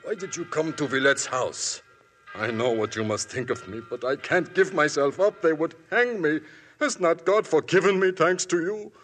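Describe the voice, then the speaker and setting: An actor playing a frightened German immigrant caretaker with a German accent, in a 1950s radio drama. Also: male voice, a little high, lively intonation, normal speed, a bit bright, faint music behind. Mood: pleading. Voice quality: husky, pleading and fearful. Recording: old 1950s radio broadcast.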